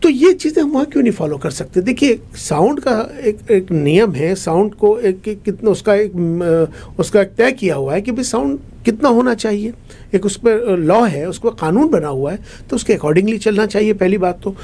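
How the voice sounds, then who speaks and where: A man speaks as an interview guest in a high, raspy voice. He is conversational but emphatic, repeating himself and posing rhetorical questions. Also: expressive, moderate pace, mellow. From India.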